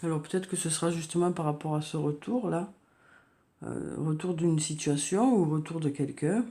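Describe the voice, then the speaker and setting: A woman's voice: sits low, moderate pace. Woman with a cold reading tarot cards. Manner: soft, hesitant, frequent "hein" and "euh", repeats words. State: has a cold, coughing. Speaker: tarot card reader. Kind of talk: monthly horoscope card reading.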